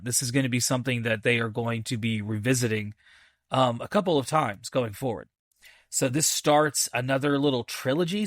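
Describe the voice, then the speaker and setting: A man's voice: medium pitch, fairly bright tone, no echo. Rambling man reviewing a film, dry close sound. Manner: rambling, halting, thinking aloud. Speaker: movie review podcast host. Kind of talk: casual solo film review.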